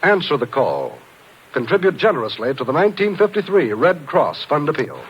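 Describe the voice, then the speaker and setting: A man's voice: a little high, huge pitch swings, a little fast. An American man announcing on 1950s radio, reading scripted copy in an earnest, formal delivery. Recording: dull, hissy vintage radio recording.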